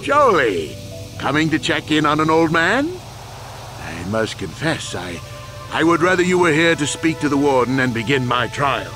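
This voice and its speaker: An actor playing a proud, weary old war veteran accused of a crime, earnest and deliberate, in a video game. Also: male voice, fairly high pitch, very animated, music in the background. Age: older.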